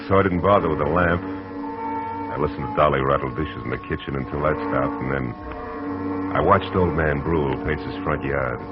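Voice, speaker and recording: An actor playing a hard-boiled private detective in a 1940s radio drama, dry and wisecracking. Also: male voice, rumbling low. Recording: muffled old radio recording.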